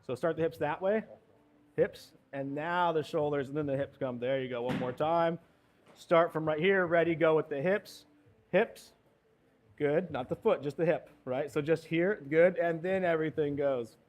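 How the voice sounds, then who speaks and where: Upbeat higher-voiced male golf coach. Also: normal speed. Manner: upbeat, coaching with short cues and encouragement. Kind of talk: hands-on golf lesson instruction.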